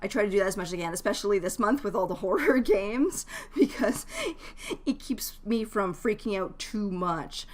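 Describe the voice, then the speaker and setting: A woman's voice: mid-range voice, expressive, normal speed. A woman streamer chatting casually to her live viewers, giving advice in a rambling, jokey way and often breaking off mid-thought. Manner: jokey, laughs easily. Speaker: game streamer.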